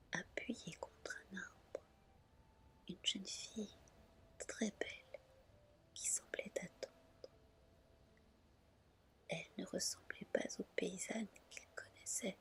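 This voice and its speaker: A woman reading a story aloud as ASMR in a breathy whisper, soft, gentle and unhurried. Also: medium pitch.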